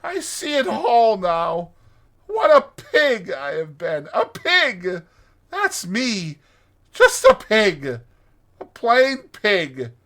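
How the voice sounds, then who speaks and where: A man voicing a kindly, chummy gentleman rat in a dramatized storybook, speaking in a solicitous, old-fashioned way. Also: high voice, huge pitch swings, very slow, pitched above the performer's own voice, exaggerated voice. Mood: gloomy self-reproach.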